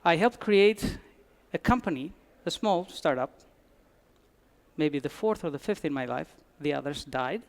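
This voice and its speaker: Man, high-pitched voice, conference talk, dry humor, restarts phrases. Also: animated, unhurried, creaky. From Albania. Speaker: conference speaker. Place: conference hall.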